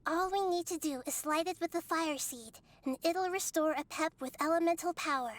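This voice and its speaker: A woman voicing a calm, wise young girl goddess in a video game, speaking softly and earnestly. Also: very high voice. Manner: soft, measured, earnest.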